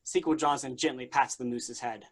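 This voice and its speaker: A man's voice: mid-range voice, fairly even intonation, moderate pace. A man reading stage directions aloud as the narrator of a staged play reading.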